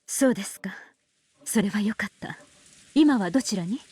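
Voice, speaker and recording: A woman playing a proper, anxious middle-aged lady of a well-off family, with a breathy voice. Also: medium pitch, expressive, quick pace, darkish tone, no room sound. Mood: composed.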